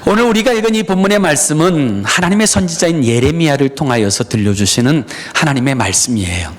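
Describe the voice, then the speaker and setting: A man's voice: fairly high pitch, animated, fast talker. A middle-aged man preaching a sermon as a pastor, talking in a personal, earnest way to his congregation, with many "uh" fillers. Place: church sanctuary.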